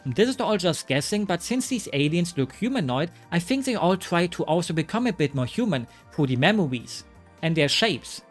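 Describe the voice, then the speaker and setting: A man's voice: on the high side, sing-song, normal speed, soft background music. A man narrating a scripted game review with wry, joking asides. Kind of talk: scripted commentary.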